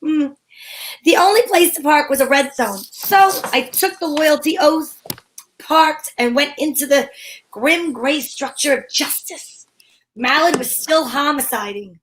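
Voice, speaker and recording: A breezy, flirtatious lady detective acted by a woman with a high voice. She wisecracks archly in the style of a 1940s radio mystery, moving between narration and banter. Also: a little slow, fairly bright tone, slight background noise, low-quality recording. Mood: wry narration. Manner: arch, wisecracking, endearments. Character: sassy female private eye, flirtatious and breezy.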